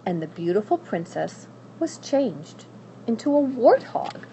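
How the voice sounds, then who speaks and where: A woman narrating a children's story aloud in a dramatic, expressive and deliberate way. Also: a little high, poor audio. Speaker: school staff member reading aloud. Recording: faint background noise.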